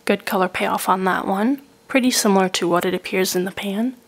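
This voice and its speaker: A woman getting over a cold, calmly describing each product she tries in a methodical review voice-over. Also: mid-range voice, a little fast, husky. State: recovering from a cold. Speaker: beauty reviewer.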